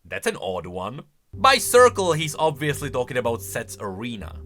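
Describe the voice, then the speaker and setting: A man's voice: medium pitch, wildly swooping pitch, moderate pace, bright, forceful tone, faint music behind. A man hosting a video of casual, explanatory game lore commentary in an emphatic, conversational way. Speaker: video host.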